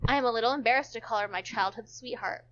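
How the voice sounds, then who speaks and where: High-voiced woman narrating, lo-fi sound. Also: fast, a bit bright, slight background noise. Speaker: narrator in a group read-along. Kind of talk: amateur dramatic reading of visual novel.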